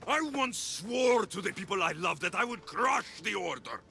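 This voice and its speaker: A man's voice: high-pitched, sing-song, normal speed, creaky, bright, forceful tone, slight background noise, exaggerated voice. An actor playing a fierce, determined Greek warrior and father in a video game, intense and threatening. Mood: grim threat. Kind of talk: video game cutscene acting.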